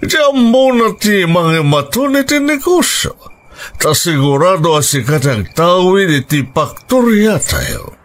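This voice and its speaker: An actor playing a wealthy middle-aged businessman father in an Ilocano radio drama, with a Filipino Ilocano accent and a theatrical, drawn-out delivery. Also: high voice, very animated. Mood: persuading.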